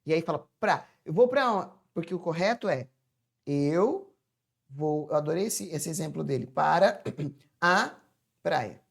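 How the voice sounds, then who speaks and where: A woman teaching a chatty, informal grammar lesson from home, stretching words out slowly to demonstrate how they sound. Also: sits low, lively intonation, creaky. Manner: chatty, addresses viewers as "gente". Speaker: Portuguese teacher.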